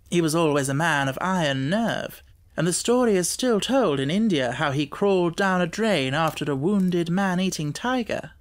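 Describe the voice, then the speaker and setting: A man voicing a keen, self-assured Victorian detective with an emphatic, lecturing manner in an audiobook. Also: sits high.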